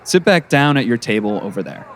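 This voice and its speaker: Man playing a measured, admonishing school principal. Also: mid-range voice, sing-song. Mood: stern, cautioning. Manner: admonishing, formal. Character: measured middle-aged male school principal, paternal, cautioning. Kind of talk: scripted audio drama acting.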